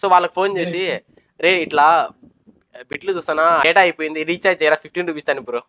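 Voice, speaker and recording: High, bright-voiced young-sounding man. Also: steady tone, fast, highs cut off. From Kadapa, Andhra Pradesh, India. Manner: informal, coaxing, mixes in English words. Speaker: YouTuber hosting a prank on a video chat. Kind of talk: casual banter, giving dares.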